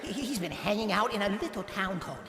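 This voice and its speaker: A man's voice: high-pitched, animated, fast, sharp tone, exaggerated voice. A man playing a nervous, fawning henchman who stammers as he serves a villain in an audio drama. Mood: servile, anxious. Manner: stammering, jittery, servile. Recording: echoey hall, music behind.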